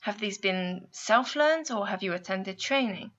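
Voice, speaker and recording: A woman's voice: mid-range voice, lively intonation, unhurried, fairly bright tone, lo-fi sound. A woman reads a scripted instructional voice-over as a placement adviser, explaining step by step and putting guiding questions to the listener. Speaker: university placement adviser narrating a guidance video.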